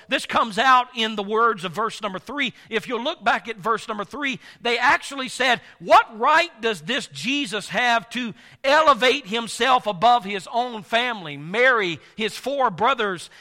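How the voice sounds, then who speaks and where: A male pastor preaching a sermon while congested, his voice nearly gone, swinging from soft to loud with slow, emphatic delivery. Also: sits high, big-room sound.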